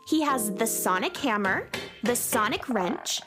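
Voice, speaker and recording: A woman's voice: a little high, animated, measured pace, over music. A woman playing an earnest young space heroine who narrates her adventures expressively in a children's radio series. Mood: storytelling. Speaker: narrator and lead character.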